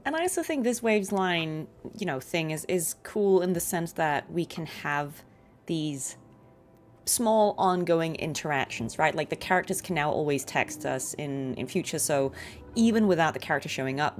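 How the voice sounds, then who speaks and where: A woman's voice: low-pitched, lively intonation, moderate pace, soft background music. A woman streamer giving casual game commentary in a chatty, reflective, encouraging way. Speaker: streamer and voice actor.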